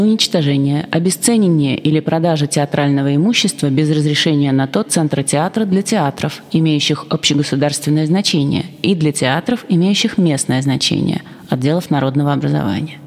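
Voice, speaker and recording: Formal document reader in long reverb. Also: mid-range voice. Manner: even, formal, bureaucratic delivery. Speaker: reader of a historical decree.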